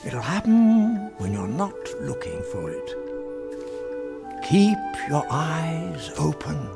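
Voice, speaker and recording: A wise old professor, acted by a man with a creaky, slightly high voice. He advises gently and kindly in radio play style, while music plays clearly behind. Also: big pitch swings, heavily acted. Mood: kindly advising. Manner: gently advising, measured phrasing. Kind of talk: fantasy audio drama.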